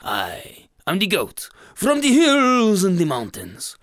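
A woman's voice: mid-range voice, wildly swooping pitch, slight background noise. Woman playing a boastful man-eating goat. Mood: menacing. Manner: slow, grand threats, shrieking shouts when bitten. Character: menacing greedy male goat from the hills.

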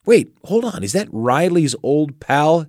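A man's voice: a little high, sing-song, unhurried, darkish tone, no room sound, studio quality. A man hosting a radio show, narrating and recapping a story from a script with dramatic delivery.

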